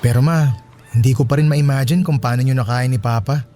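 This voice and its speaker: A man's voice: medium pitch, steady tone, fairly quick, warm tone, close to an everyday voice. A man playing a devoted, respectful young adult son in a radio drama, talking earnestly and hopefully. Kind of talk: Filipino radio drama acting. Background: music.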